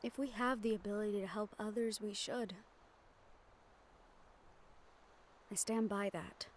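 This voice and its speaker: A woman voicing a gentle, wistful young noblewoman in a romantic fantasy audio roleplay, speaking softly with formal, measured diction and tender pauses. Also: mid-range voice, steady tone, slight background noise, close to an everyday voice. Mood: earnest.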